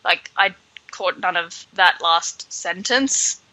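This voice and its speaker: A woman co-hosting a podcast, chatting casually through a book with animated, sardonic asides. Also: lowish voice, takes it slowly, rough recording. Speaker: podcast co-host. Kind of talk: casual book read-along chat.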